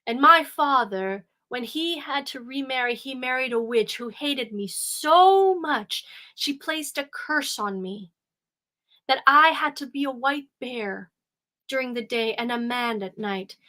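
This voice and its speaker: A woman's voice: high voice, expressive, a little slow, pushed and bright, heavily acted. Woman telling a cursed bear prince, anguished. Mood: earnest.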